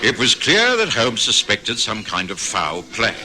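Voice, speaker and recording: An actor playing a detective's earnest, inquisitive companion and narrator in an old radio drama. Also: male voice, lowish voice, wildly swooping pitch, measured pace, loud music behind the voice, poor audio. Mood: measured. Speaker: narrator and sidekick.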